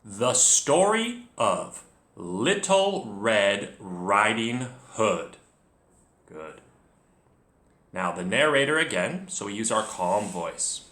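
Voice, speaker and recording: A man with a bright, animated voice guides young readers through a story. He talks to the learner directly and narrates clearly at an unhurried pace, in a room with noticeable echo. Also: on the low side. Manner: expressive, addresses the learner directly. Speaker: children's reading teacher, storyteller. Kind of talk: encouraging read-along lesson with calm, clear story narration. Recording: echoey room.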